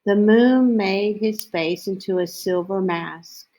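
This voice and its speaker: Very slow-reading woman storyteller. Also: mid-range voice, warm tone, rough recording. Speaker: reader of a children's picture book. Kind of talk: read-aloud storytelling, slow and deliberate.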